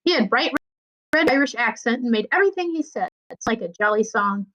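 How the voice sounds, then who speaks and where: A woman's voice: a little high, brisk. A woman reading a children's story aloud expressively, as a school librarian would. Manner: expressive, acts out dialogue. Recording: dull lo-fi sound.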